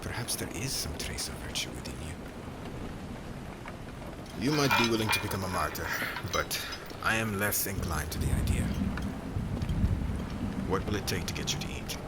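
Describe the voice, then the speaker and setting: A man playing a stern, cold witch hunter in an ASMR roleplay, speaking in a solemn, formal, menacing way that often drops to a hush. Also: low-pitched, animated, moderate pace, pauses often, very noisy. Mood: grudging, bargaining. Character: stern male witch hunter captor, cold, grudgingly admiring. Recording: heavy rain and thunder ambience behind. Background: rain and thunder sound effects.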